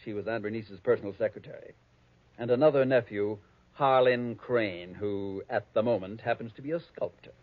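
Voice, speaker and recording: An actor playing a prim, conniving, avaricious heir, speaking formally and precisely in 1940s radio style. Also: male voice, lowish voice, moderate pace, no room sound, close to an everyday voice. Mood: disdainful. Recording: thin, phone-like old radio recording.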